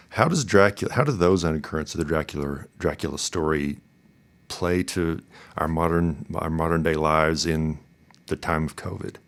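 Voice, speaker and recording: A man hosting a conversational interview, feeling his way through questions in halting, thoughtful phrases. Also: rumbling low. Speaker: podcast host, interviewer.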